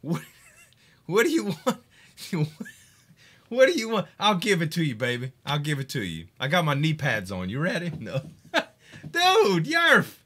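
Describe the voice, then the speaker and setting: A man chatting casually on a live game stream, excitable and swearing, hyping games and laughing often. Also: on the high side, unhurried, pauses often.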